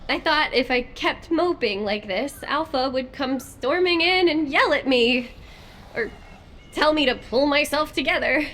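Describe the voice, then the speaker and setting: An actress playing a young, naive and cheeky female soldier in video game dialogue, talking in an expressive, informal, girlish way. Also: high-pitched, a little hiss or hum. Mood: subdued.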